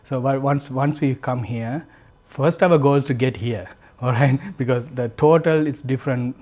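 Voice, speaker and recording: A man's voice: medium pitch, moderate pace, darkish tone. A man in his thirties from Sri Lanka with a Sri Lankan accent. He speaks earnestly as a guest in a podcast interview and often repeats and restarts phrases. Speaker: guest, candle business founder.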